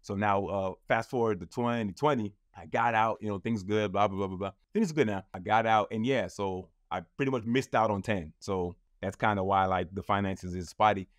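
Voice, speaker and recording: Low-voiced man in his thirties, laid back. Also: moderate pace, few pauses, dry recording. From United States. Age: 30s. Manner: loose, filler-heavy storytelling with frequent "like". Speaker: guest. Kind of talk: casual interview talk.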